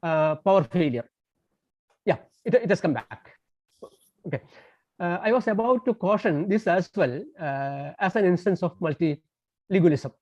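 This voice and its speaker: A man's voice: high-pitched, animated, measured pace, long pauses, a little mellow, dry recording. A man whose first language is Tamil, giving an online lecture by phone, speaking in a hesitant way with many fillers and restarts. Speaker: guest lecturer. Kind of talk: online lecture over a call. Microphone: phone on a landline call. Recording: dull dry phone-call audio.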